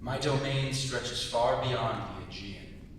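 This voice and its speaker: A young man playing a menacing, possessive sea god in a staged reading, speaking in formal, measured threats. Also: fairly bright tone. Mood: cold menace. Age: young adult. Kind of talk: staged dramatic script reading. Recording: very echoey hall, distant mic, hiss and rumble.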